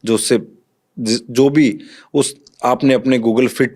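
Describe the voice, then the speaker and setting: Man, medium-pitched voice, instructive interview guest, step-by-step explanations. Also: steady tone, takes it slowly, a little mellow. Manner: instructive, addresses listener directly with step-by-step examples. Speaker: police officer, interview guest. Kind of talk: explanatory interview talk with examples.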